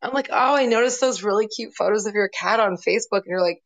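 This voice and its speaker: A woman in her late twenties hosting a loose live chat, needling her co-host with dry sarcasm and easy swearing. Her pitch sits in an ordinary middle range. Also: fast talker. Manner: teasing, sarcastic, swears freely. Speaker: podcast host. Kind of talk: casual chat.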